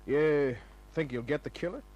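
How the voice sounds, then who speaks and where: An actor playing a hard-boiled private detective, laconic, breezy and sardonic, in the manner of old-time radio drama. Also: male voice, a little high, moderate pace, lo-fi sound, faint music behind, natural voice. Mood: casual, needling. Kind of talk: old-time radio acting and first-person narration. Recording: noisy humming old radio recording.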